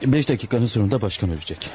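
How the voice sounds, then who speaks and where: A man's voice: lowish voice, expressive, rapid-fire, darkish tone, natural voice. An actor playing a hesitant former doctor turned hitman in a Turkish radio drama. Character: hesitant male ex-doctor turned hired killer. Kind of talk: Turkish radio theatre acting. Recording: noisy old radio drama recording.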